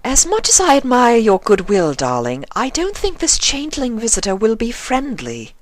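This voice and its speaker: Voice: mid-range voice, huge pitch swings, moderate pace, voice pushed up. A storyteller voicing an elegant, fussy, affectionate lady pony with refined, dramatic phrasing.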